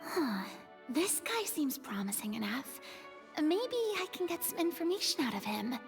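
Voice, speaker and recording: High-voiced, poised young heroine. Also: female voice, very animated, moderate pace, creaky, over music, exaggerated voice. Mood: curious. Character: dramatic young woman playing a heroic monster hunter. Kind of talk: polished anime game voice acting.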